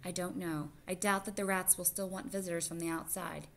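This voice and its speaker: A woman reading the part of a careful mother mouse in a storybook, measured and gently reasoning. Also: on the low side, fairly even intonation, vocal fry.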